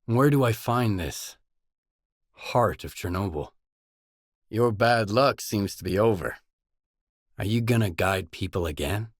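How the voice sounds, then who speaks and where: A man's voice: fairly low pitch, expressive, a little slow, pauses often, no echo, clean studio sound. An actor playing a guarded, blunt male stalker, the protagonist of a post-apocalyptic video game, speaking in terse scripted lines. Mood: matter-of-fact, probing. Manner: terse, blunt, probing questions.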